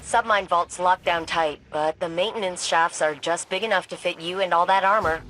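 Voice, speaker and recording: A woman's voice: on the low side, fairly even intonation, moderate pace, noisy background, lightly acted. An actress playing a confident, wisecracking female tech expert in a video game, talking casually and quippily. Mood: focused, wry. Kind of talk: scripted game dialogue.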